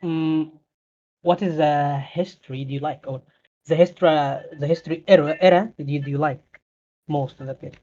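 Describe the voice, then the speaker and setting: A man interviewing a guest in casual chat, phrasing his questions haltingly and restarting often. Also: on the high side, steady tone, mellow. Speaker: interviewer, server admin. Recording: dull lo-fi mic.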